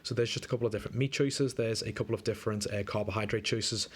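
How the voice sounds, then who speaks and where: A man's voice: lowish voice, steady tone, fast, husky. A young man coaching a client on a diet plan in a casual, chatty way, sounding a bit wheezy from a coming flu. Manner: laid-back, chatty, lots of fillers. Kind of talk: casual screen-recorded walkthrough. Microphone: screen recording.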